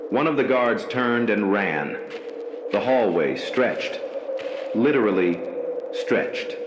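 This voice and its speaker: A man with a fairly low voice narrates a scripted horror tale in a slow, measured, ominous way. His voice rings in a roomy, echoing space. A steady wash of background noise sits under every line. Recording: echoey room with background noise.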